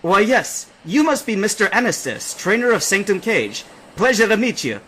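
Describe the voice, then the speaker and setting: A man's voice: high voice, sing-song, normal speed, fairly bright tone, slight background noise, voice pushed up. A man voicing an eager, thin ship captain with an Irish accent.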